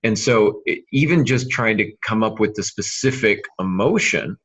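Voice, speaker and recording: A man hosting a conversational writing podcast, explaining advice in a measured way with emphatic repetition. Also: lowish voice, animated, fairly bright tone, husky. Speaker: podcast host and writing mentor.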